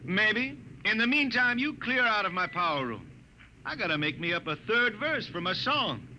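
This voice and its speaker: A man's voice: high-pitched, big pitch swings, normal speed, background noise. An actor playing a drawling, wisecracking veteran spaceship jetman in a 1950s radio drama. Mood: cocky. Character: folksy drunk old spacer, stubborn jetman. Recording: old radio broadcast with loud music.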